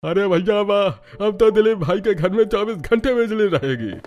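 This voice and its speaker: A man's voice: high voice, animated, moderate pace, darkish tone, highs cut off. Man acting a hearty, swooping village headman. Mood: excited, approving. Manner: folksy, exclaiming, addresses everyone as brother. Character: hearty older village headman, folksy and decisive. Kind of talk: Hindi cartoon dialogue acting.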